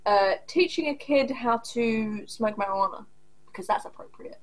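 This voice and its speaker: A woman's voice: fairly high pitch, moderate pace, poor audio. A woman in her thirties hosting a reaction video, talking bluntly and angrily with lots of swearing, recorded at a desk in a small home room. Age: 30s. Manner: angry, profane, blunt and sarcastic. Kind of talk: casual reaction commentary.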